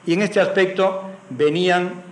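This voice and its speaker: Slightly high-voiced man in an echoey room. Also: steady tone, a little slow. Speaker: anthropologist and historian, priest. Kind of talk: reflective academic commentary. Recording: roomy echo, faint background noise.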